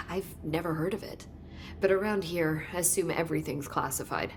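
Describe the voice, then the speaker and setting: A woman's voice: deep voice, moderate pace, background noise. A woman playing an inquisitive, earnest government researcher in a video game, explaining things as she goes. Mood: curious, earnest. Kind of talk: video game cutscene acting.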